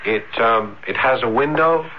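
An actor playing a tormented young student, earnest and intense, in a theatrical American radio drama style. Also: male voice, mid-range voice, unhurried, slight background noise, close to an everyday voice. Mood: timid, polite. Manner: intense, theatrical, hesitant at times. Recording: muffled vintage radio drama recording.

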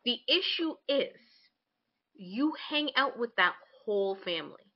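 A woman podcast co-host with a fairly high, very animated voice. She talks informally and bluntly, slipping into imagined quotes as she gives opinions. The recording sounds slightly dull. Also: a little slow. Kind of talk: casual opinionated chat.

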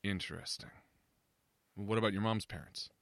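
A man acting in an audio drama as a probing, slightly impatient confidant with a measured, questioning, dry manner. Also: lowish voice, steady tone, gravelly, fairly bright tone, dry recording.

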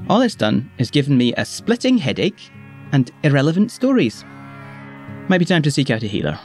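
A woman's voice: rumbling low, sing-song, brisk, darkish tone, soft background music. A woman playing a grumbling, folksy male shepherd in an audio drama, chatty and wry. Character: grumbling male shepherd prophet fond of his animals.

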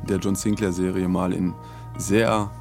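A man's voice: low voice, little pitch movement, a little slow, creaky, darkish tone, soft background music. A man co-hosting a podcast in a studio, chatting casually in a playful, wry way. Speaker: podcast co-host. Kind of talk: casual chat. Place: recording studio.

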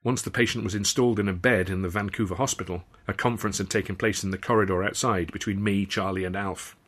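Man, audiobook narration, lower-pitched voice, wry literate storytelling. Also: steady tone, quick pace, a bit bright. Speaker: author reading own novel. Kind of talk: audiobook narration with acted dialogue.

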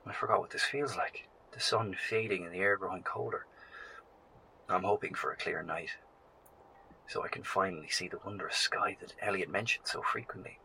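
Low-voiced, reflective male survivor. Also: vocal fry, sharp tone, a little hiss or hum, exaggerated voice, rough recording. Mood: wistful. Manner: reflective, measured, descriptive. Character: earnest male post-apocalyptic survivor and radio broadcaster. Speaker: survivor recording a field log. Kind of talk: horror audio drama monologue.

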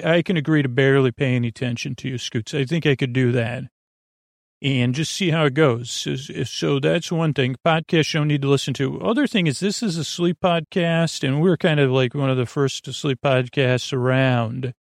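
A man hosting a sleep podcast in a creaky voice, meandering through tangents, halting and repeating himself as he trails off mid-thought. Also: mid-range voice, dry recording, clean studio sound. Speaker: podcast host.

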